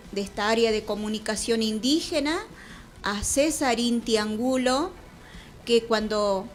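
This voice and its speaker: Quechua woman hosting community radio, slightly high voice, echoey room, faint music under. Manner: unhurried, with frequent pauses and restarts. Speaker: radio host. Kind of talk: warm-hearted radio opening, bilingual greetings. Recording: roomy studio with faint music.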